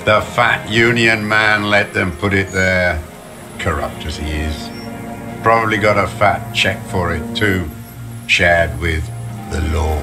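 An actor playing a bitter, weary old communist deserter in a video game, ranting with disdain. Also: male voice, deep voice. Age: older. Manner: embittered, ranting, slow deliberate delivery. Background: music.

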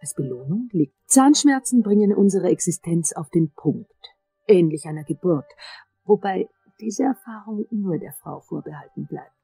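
Casting: composed, seductive dentist, lowish mellow voice, calm radio-play delivery. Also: female voice, normal speed, gravelly, slightly rough, no echo, soft background music, full character voice, low-quality recording. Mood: intimate, reflective. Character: composed, seductive female dentist fascinated by teeth.

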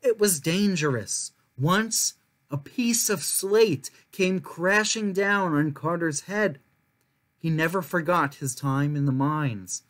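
Young man reading slowly. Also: on the high side, a bit bright. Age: young adult. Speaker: storyteller reading a children's book aloud. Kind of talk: scripted narration, story time read-aloud.

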